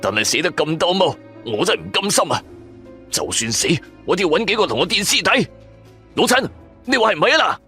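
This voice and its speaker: Man playing crude reckless sidekick guy, Cantonese accent, whiny, cheeky, animated pitch, audio drama, light music behind. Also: fairly quick, heavily acted. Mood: despairing, defiant. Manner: whining, cheeky, excitable. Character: grumbling, crude, reckless male sidekick in danger.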